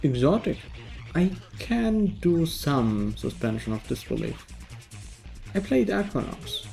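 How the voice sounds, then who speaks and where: A man's voice: a little high, sing-song, takes it slowly, creaky, mellow, soft background music. A man from Germany, narrating a casual game review in a dry, wry manner.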